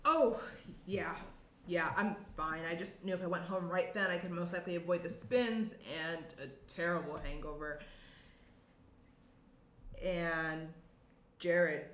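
A woman's voice: on the low side, a little slow, pauses often, a bit bright. A young woman playing a guarded, stressed woman in a screenplay table read, speaking hesitantly. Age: young adult. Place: small indoor room around a table. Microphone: shared tabletop microphone. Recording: roomy tabletop pickup, faint noise.

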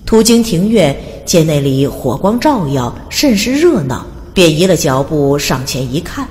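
A woman's voice: deep voice, very animated, measured pace, a little mellow. A woman reading scripted story narration in a dramatic audiobook style. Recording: long reverb, faint background noise.

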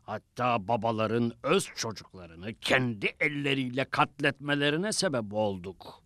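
A man's voice: medium pitch, lively intonation, measured pace, bright, forceful tone, dry recording, studio quality. A man playing a murderous, scheming devil chief in a cartoon, sinister and boastful.

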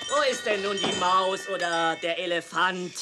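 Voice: mid-range voice, steady tone, moderate pace, close to an everyday voice. An actor playing a sarcastic, unimpressed shopkeeper husband in a children's audio play, dry and dismissive. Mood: weary sarcasm. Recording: faintly noisy 1980s cassette.

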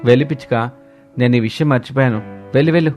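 A man as a stubborn cartoon crow husband, mid-pitched. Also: quick pace, darkish tone, natural voice. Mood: coaxing, affectionate. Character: suspicious, stubborn crow husband who gives in. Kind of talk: dramatized moral story cartoon dialogue. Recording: music bed behind voice.

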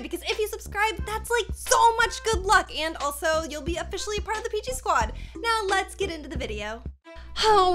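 A woman in her twenties hosting a gaming video, narrating a roleplay in an excitable, exaggerated way on a close mic. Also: very high voice. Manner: expressive, exaggerated. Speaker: gaming YouTuber, host. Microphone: close studio mic on boom arm.

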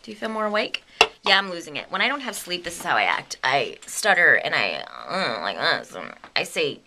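A woman's voice: sits low, very animated, a little fast, sharp tone, slight background noise, poor audio. A young woman in her twenties vlogging in a casual, rambling, giggly way, sleepy and a bit delirious, sometimes dropping to a whisper, filmed up close on a handheld camera in a small home studio. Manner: bubbly, rambling, giggly, drops to a whisper at times. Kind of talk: casual vlog chat. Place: home video studio with a big red chair and green screen. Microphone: handheld camera held close to the face.

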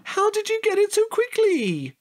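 A man's voice: extremely high pitch, wildly swooping pitch, normal speed, exaggerated voice. An actor playing a playful, easily bored, childishly eager man in an audio drama, indignant and teasing. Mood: playful, pleading.

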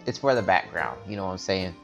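Low-voiced man reading a game aloud. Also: expressive, quick pace, dull audio. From America. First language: not English. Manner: stumbles over words, chatty asides. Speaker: gameplay commentator reading the game's text aloud. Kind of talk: casual let's-play reading and chat. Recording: faint game music behind.

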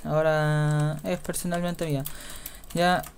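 A young man with a Chilean accent, giving casual gameplay commentary in a quiet mutter that repeats words in runs. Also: a little high, level tone, slow pace, clean tone. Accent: Chilean Spanish. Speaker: gaming YouTuber.

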